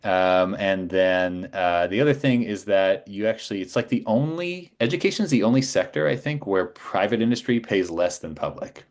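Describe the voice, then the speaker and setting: A man's voice: fairly low pitch, lively intonation, moderate pace, few pauses. A middle-aged man telling stories in a casual, conversational way as a podcast guest.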